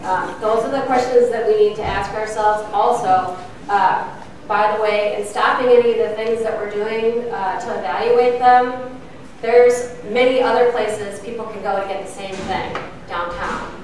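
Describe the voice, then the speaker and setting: A woman's voice: medium pitch, fairly even intonation, measured pace, darkish tone. A middle-aged woman leading outreach ministry, answering questions at a church forum in an earnest, explaining way with frequent fillers. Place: church meeting room. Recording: echoey room, distant mic, faint noise.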